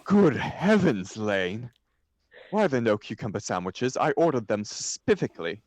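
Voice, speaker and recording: A man voicing a flippant, witty young gentleman dandy, airy and theatrical, in a stage comedy table read. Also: mid-range voice, very animated, normal speed, dry recording, close to an everyday voice. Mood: mock horror.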